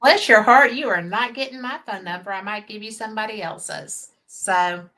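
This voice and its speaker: A woman's voice: mid-range voice, moderate pace, bright, forceful tone. An older woman with a Southern US accent, hosting a casual live-stream chat, chatty and folksy, laughing easily. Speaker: host.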